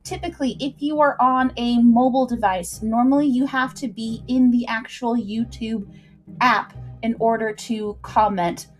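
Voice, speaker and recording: A woman's voice: fairly high pitch, fairly even intonation, normal speed, low-quality recording. A woman hosting a livestream, chatting informally with viewers and recapping a story, with asides to the chat. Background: background music.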